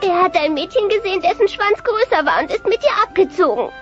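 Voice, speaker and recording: Teen dinosaur girl, extremely high pitch, indignant, dramatic, girlish, German sitcom audio drama, muffled cassette. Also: lively intonation, brisk. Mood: hurt, indignant. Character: teenage dinosaur daughter, indignant, wounded, stubborn. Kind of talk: German dubbed family sitcom audio play. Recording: dull cassette transfer with music behind.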